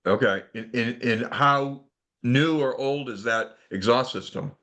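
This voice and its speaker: A man hosting a car club call in casual technical discussion, halting and repeating words as he goes. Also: mid-range voice, a bit bright. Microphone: conference call. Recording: group conference call.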